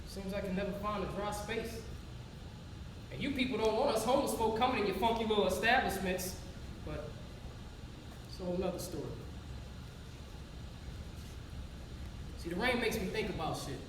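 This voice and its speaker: An actress playing a wounded, frank, streetwise homeless young woman in a plainspoken, profane stage monologue with dramatic pauses. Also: mid-range voice, close to an everyday voice. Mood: weary, wry. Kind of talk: theatrical monologue in a one-act stage play. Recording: echoey hall, far-off mic, background noise.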